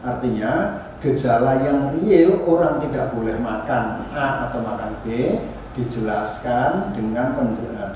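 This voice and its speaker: A man giving an informal academic lecture as a university teacher, halting and restarting, often adding tag questions. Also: mid-range voice. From Indonesia. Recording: very echoey room, distant mic, faint noise.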